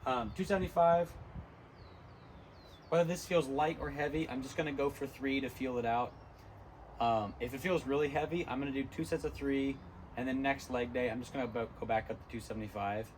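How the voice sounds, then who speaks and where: A tired, hoarse young man talking casually between lifts in a gym, rambling with many ums. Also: mid-range voice, steady tone, a little fast, a little hiss or hum. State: hoarse, lost voice from illness. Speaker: fitness vlogger. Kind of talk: casual gym vlog talk.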